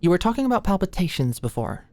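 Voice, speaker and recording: A low-pitched young female voice, close and very dry, a woman acting as a nervous, awkward medical student in an ASMR roleplay. She is attentive but hesitant and easily flustered, tripping over her words. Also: big pitch swings, fast, dark tone, dry recording. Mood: careful, nervous. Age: young adult. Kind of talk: ASMR roleplay, intimate scripted one-sided dialogue. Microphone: close studio-style mic, dry.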